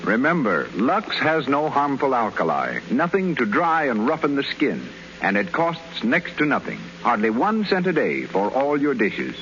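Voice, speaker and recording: Animated announcer, muffled old radio. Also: male voice, medium pitch, normal speed. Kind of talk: 1930s radio advertising announcement. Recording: muffled, hissy 1930s radio recording.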